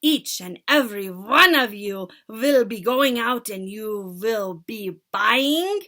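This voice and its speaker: A woman's voice: on the high side, animated, slow pace, bright, forceful tone, exaggerated voice. A woman voicing a tiny, furious, tyrannical old female witch leader who shrieks her orders in oddly twisted English. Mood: commanding. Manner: shrieking, foreign-sounding odd grammar.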